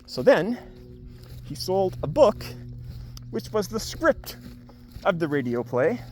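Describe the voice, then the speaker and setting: A man's voice: sits high, very animated, slow, darkish tone, slight background noise. A man talking casually and spontaneously to camera in a rambling, wry, jokey way, like a vlogger giving a review. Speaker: video blogger giving a book review. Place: on a farm among cows.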